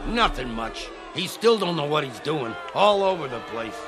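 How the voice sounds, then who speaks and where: An actor playing a gruff, fussing, streetwise American boxing trainer in a radio drama. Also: male voice, on the high side, very animated, normal speed, over music. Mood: dismissive.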